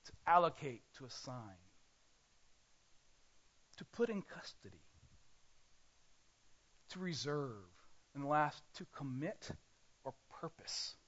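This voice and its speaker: A man's voice: on the high side, expressive, takes it slowly, pauses often, a bit bright. Man preaching a casual sermon, lo-fi. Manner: conversational, self-correcting preaching. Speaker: pastor.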